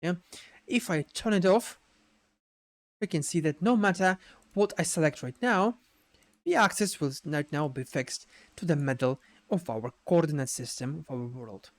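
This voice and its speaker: A man giving an unscripted software tutorial in a halting way, repeating words and adding little checking tags as he explains each step. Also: fairly high pitch. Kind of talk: unscripted screen-share tutorial narration.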